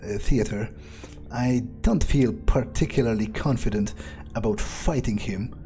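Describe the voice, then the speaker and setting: A man narrating his gameplay in character, thinking aloud in a measured, musing way. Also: mid-range voice, mellow. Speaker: gamer role-playing as his character. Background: faint music.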